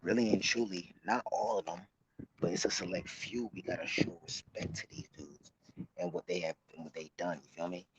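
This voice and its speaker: A man of about thirty giving casual, slangy street commentary in a halting, repetitive way, recorded outdoors. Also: low voice. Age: late 20s, about thirty. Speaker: vlog host. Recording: muffled, noisy outdoor recording with clicks.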